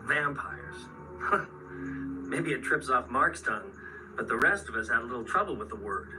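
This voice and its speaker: A man's voice: mid-range voice, lively intonation, moderate pace, over music, lightly acted. A male actor playing an earnest, haunted man in a radio horror drama. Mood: grave, wry. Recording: distant radio drama playback, background sound.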